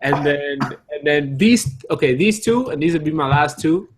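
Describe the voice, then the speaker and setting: Slightly high-voiced man joking on a podcast. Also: lively intonation, moderate pace, a crowd in the background. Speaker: podcast co-host. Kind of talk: casual joking podcast chat.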